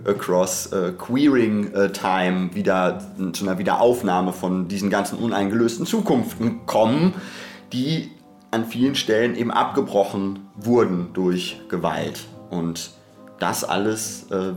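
A man's voice: low voice, unhurried, a little mellow, echoey room, soft background music. A man speaking off the cuff in a podcast discussion, in an academic, wordy way, often breaking into his own sentences with asides. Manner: academic, wordy, digressive with frequent self-interruptions.

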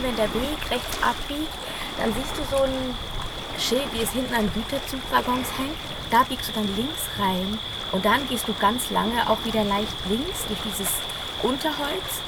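A woman explaining a route in casual, everyday speech. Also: fairly high pitch. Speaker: passer-by giving directions. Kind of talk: casual spoken directions. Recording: loud outdoor ambient noise.

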